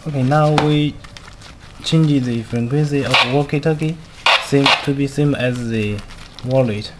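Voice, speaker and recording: Male product demonstrator, non-native English, mid-pitched, clipped halting instructions, noisy amateur recording. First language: not a native English speaker. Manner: halting, simple clipped phrasing. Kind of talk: step-by-step spoken product demonstration.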